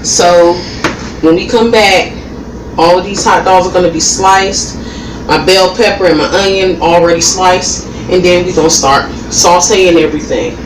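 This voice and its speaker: A woman's voice: lowish voice, moderate pace, recorded from a distance, noisy background. A woman, chatting casually as she cooks and sniffling from a cold. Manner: chatty, laid-back, laughs often. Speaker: vlogger cooking at home. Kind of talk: casual cooking chat. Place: small home kitchen. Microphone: camera across the kitchen. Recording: roomy kitchen sound from off-mic.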